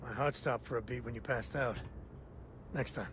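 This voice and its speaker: A man voicing a calm game companion. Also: medium pitch, moderate pace, noisy background, natural voice. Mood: reassuring. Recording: game audio under loud music.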